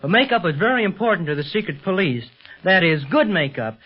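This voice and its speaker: A man's voice: sits high, big pitch swings, fairly quick, breathy edge, full character voice. A male actor playing an eager, polite teenage boy detective in a 1930s radio adventure serial. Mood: earnest. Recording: muffled 1930s radio recording.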